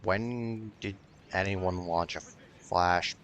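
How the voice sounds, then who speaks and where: A man's voice: low-pitched, steady tone, takes it slowly, fairly bright tone. A man giving casual commentary as he plays a video game, grumbling sardonically and swearing freely. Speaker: gaming video host. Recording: noticeable background noise.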